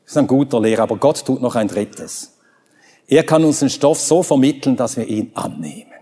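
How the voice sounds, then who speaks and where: A man whose first language is Swedish, preaching a sermon and reading scripture, emphatic and rising to high ringing exclamations. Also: expressive, normal speed, dark tone, husky. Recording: long reverb of a large hall.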